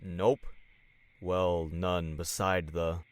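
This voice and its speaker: A man's voice: low voice, level tone, very slow, smooth, no echo. A man playing a blunt, laid-back teenage gamer son in an audio drama, talking in offhand slang. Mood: casual.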